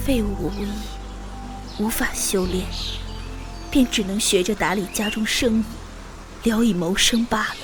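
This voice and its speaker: A woman playing a polite, humble young merchant's daughter with formal, old-fashioned manners in an animated fantasy drama. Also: fairly high pitch, natural voice. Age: young adult.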